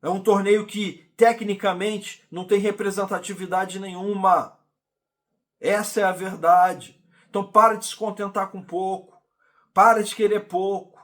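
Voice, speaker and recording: Exasperated high-voiced Carioca man ranting. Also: level tone, a little slow, fairly bright tone. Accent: Carioca Brazilian Portuguese. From Rio de Janeiro, Brazil. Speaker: football channel host. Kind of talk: indignant fan commentary monologue.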